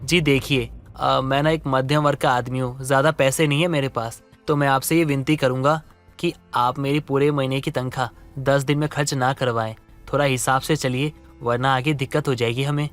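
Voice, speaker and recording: A man voicing a meek, patient husband in a Hindi story cartoon, speaking politely and hesitantly, pleading gently. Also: mid-range voice, fairly even intonation, moderate pace, soft background music, natural voice. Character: meek, patient middle-class husband, lovestruck and submissive. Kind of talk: Hindi animated story dialogue.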